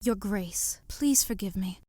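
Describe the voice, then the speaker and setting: A woman's voice: mid-range voice, takes it slowly, no echo, clean studio sound. A woman playing a young noblewoman in an audio drama, a respectful but stubborn daughter who speaks earnestly and politely. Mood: pleading, careful. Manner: polite, earnest, quietly defiant. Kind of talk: modernized Shakespeare audio drama acting.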